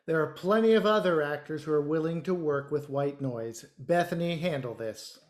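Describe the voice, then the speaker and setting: An actor playing a demanding, entitled theater director, curt and impatient, in a naturalistic play. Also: male voice, on the high side, normal speed, natural voice. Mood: dismissive. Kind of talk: naturalistic stage play acting over video call. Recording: echoey room on video call.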